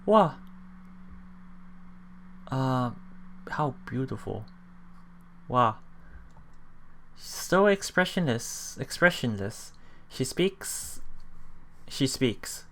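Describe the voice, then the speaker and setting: A man's voice: medium pitch, sing-song, drawn-out words, vocal fry, pauses often, a bit bright. A man hosting a let's play, reading a visual novel aloud and adding casual reactions, sometimes repeating himself. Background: game music.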